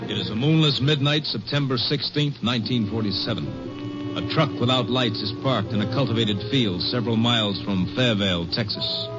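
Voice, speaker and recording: Solemn American radio narrator over loud music. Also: male voice, mid-range voice, normal speed. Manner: solemn, suspenseful. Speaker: story narrator. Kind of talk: 1950s radio drama scripted narration. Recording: dull vintage radio broadcast.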